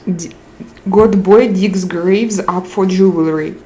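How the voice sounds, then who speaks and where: A woman with a Russian accent chatting casually on a live stream, reading out viewer comments and hunting for English words with frequent pauses. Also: on the low side, mellow. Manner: slow, searching for English words with frequent hesitations.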